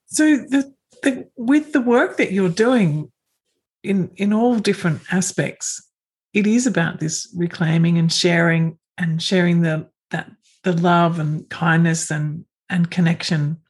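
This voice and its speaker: An interviewer with a mid-range voice asks long, gently encouraging questions that wander a little before landing. The tone stays supportive throughout. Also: medium pitch, a little slow, breathy edge.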